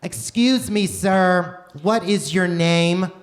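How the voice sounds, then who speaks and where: A man's voice: high voice, slow pace. A man playing an eager, easily flustered husband on a mission in a live comedy radio play, with exaggerated delivery. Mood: urgent, eager. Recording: live theater stage, faint noise.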